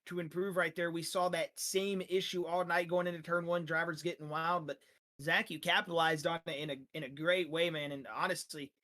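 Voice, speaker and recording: A man who is losing his voice, interviewing drivers after a sim race with energetic, rambling talk full of fillers. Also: fairly high pitch, brisk. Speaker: sim racing league broadcaster.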